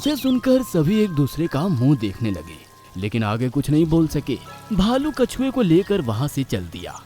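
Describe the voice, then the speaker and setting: Animated male children's storyteller over music. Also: fairly high pitch, normal speed. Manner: expressive, animated. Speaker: storyteller, narrator. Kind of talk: scripted children's story narration. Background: background music.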